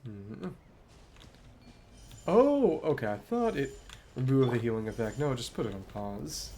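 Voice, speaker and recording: Slow-paced man musing over gameplay, lively pitch. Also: a little hiss or hum. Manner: musing aloud in short, halting remarks. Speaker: game streamer. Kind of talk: casual solo gameplay commentary.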